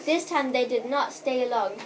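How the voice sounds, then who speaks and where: A female narrator reading a scripted children's story aloud for a school puppet show, with deliberate delivery. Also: sits high, slight background noise.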